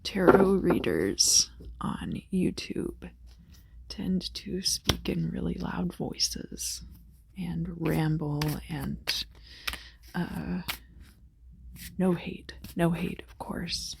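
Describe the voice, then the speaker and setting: Female ASMR tarot host, low-pitched and slow, whispery delivery, soothing casual chat. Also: animated, creaky. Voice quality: whispery, soft. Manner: soothing, unhurried.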